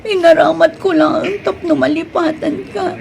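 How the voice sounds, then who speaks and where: A woman's voice: very high-pitched, steady tone, moderate pace, vocal fry, a crowd in the background, full character voice. A woman playing an emotional young woman caught in a love triangle, pleading and complaining in a melodramatic comedy drama. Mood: tearful pleading. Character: young woman torn between two brothers, emotional, complaining.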